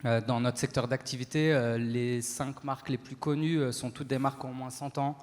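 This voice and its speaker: A man answers as an entrepreneur interviewed at a business talk. His delivery is halting, with many pauses, at a medium pitch. The hall gives his voice a long reverb. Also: steady tone, a little mellow. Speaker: entrepreneur being interviewed on stage. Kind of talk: spoken testimony at a business talk event.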